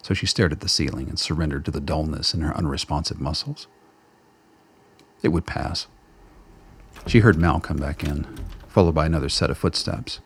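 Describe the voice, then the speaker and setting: A man's voice: very deep voice, normal speed, pauses often, slightly rough. A man reading scripted narration for a radio play as its storyteller. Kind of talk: scripted audio drama narration. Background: music bed.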